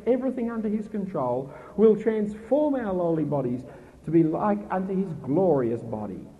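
A middle-aged man preaching a sermon in an earnest, emphatic way with a naturally loud voice, asking his listeners pointed questions. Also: sits high. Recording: tinny phone-like recording with faint noise.

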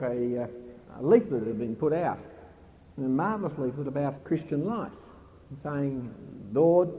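A middle-aged man preaching a sermon in an earnest, emphatic way with a naturally loud voice, asking his listeners pointed questions. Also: a little high. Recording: tinny phone-like recording with faint noise.

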